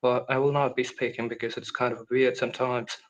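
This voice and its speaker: Flat-voiced man with a mixed Indian accent. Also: medium pitch, a little fast, rough recording. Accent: mix of Indian, American and British. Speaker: guest. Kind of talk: casual live-stream chat.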